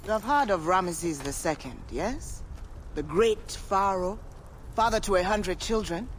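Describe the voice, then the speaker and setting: A man's voice: sits high, expressive, measured pace, a little hiss or hum. A man playing a scholarly papyrus expert with a gambling habit in a video game, musing and theatrical.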